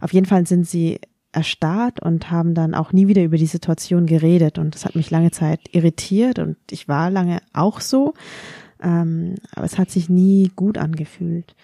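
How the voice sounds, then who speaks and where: Low mellow woman, calm and candid. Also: moderate pace, gravelly, no room sound, studio quality. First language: Vietnamese. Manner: thoughtful, candid, self-ironic. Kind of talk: reflective personal conversation.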